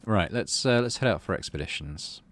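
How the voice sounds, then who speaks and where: Male gamer, lowish voice, lively range, casual chatty commentary, reads story text aloud. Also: moderate pace. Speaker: gaming channel host. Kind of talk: casual game commentary and narration.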